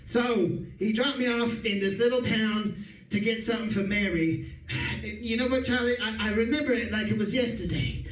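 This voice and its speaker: A man's voice: high voice, moderate pace, soft background music, close to an everyday voice. A man playing an exasperated, boastful old-time radio detective who rants theatrically about his misadventures in a comedic drama. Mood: boastful, weary. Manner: theatrical, ranting, emphatic. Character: exasperated, boastful male 1940s-style detective, dramatically rattled time traveler. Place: live stage performance in an echoey room. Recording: echoey room, distant mic, faint noise.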